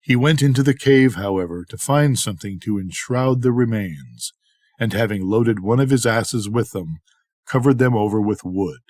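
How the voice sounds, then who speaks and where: Older male storyteller, lower-pitched voice, bedtime story narration, no room echo. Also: moderate pace, darkish tone, clean studio sound.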